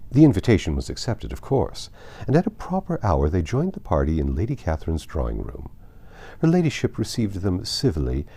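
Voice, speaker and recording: A man narrating a classic novel aloud as an audiobook, telling the story in measured phrases. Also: sits low, mellow.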